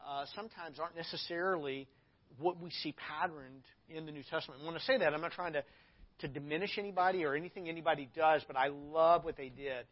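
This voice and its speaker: Pastor guy hosting, higher voice, rambly, muffled recording. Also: steady tone, fast talker. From Arkansas. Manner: rambling, with restarts and fillers. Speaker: pastor and host. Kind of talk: casual interview chat. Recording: dull lo-fi audio.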